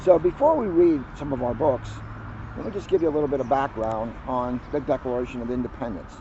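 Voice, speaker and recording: A man who is a police officer, reading a children's picture book aloud outdoors with lively storytelling. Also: mid-range voice, brisk, lo-fi sound, a little hiss or hum. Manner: lively storytelling, livens up on quoted lines. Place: outdoors on a hill at a historic house.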